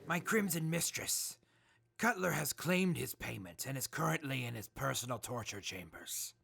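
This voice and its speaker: A man's voice: mid-range voice, animated, moderate pace, creaky, fairly bright tone, husky, full character voice. A man playing a deferential raider attendant to a cruel mistress, speaking formally in an audio drama.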